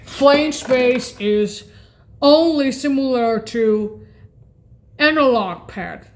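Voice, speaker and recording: A woman rambling through a keyboard sound demo, thinking aloud, halting and repeating herself with questions. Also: high-pitched, expressive. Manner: halting, repetitive, questioning, often muttering to self.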